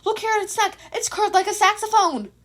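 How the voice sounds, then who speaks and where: A woman voicing an indignant, excitable teenage boy hero who insists emphatically and with outrage. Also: extremely high pitch, brisk, voice pushed up. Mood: indignant, outraged.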